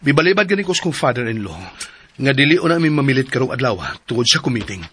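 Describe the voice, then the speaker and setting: A man playing a hurried, irritable businessman in a Filipino radio drama, curt and sighing, with a Visayan accent. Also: medium pitch, animated, fairly bright tone, breathy edge. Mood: impatient.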